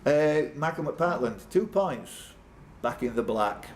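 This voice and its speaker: A man's voice: on the high side, expressive, slow. A man hosting a live pub quiz, reading out questions with jokey, off-the-cuff banter and laughing at his own jokes. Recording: live pub room with background noise.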